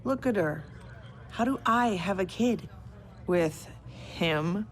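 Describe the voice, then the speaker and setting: An actress with an American accent playing a young, no-nonsense military general with a blunt manner in a video game. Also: female voice, medium pitch, lively intonation, takes it slowly. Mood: incredulous. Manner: blunt, incredulous.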